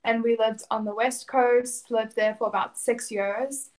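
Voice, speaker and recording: A young woman from South Africa talks through her story in an even, level tone with little rise and fall. She answers questions plainly and steadily, now and then with a soft laugh. Her first language is Afrikaans. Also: a little high, unhurried. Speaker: interview guest. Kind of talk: conversational interview answers.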